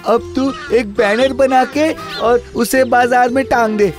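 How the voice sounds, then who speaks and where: Guy doing a helpful young engineer buddy for a cartoon, super high pitched, bit of music behind. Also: fairly even intonation, slow. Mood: helpful, assured. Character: helpful, confident young software engineer friend, male. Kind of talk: Hindi cartoon story dubbing.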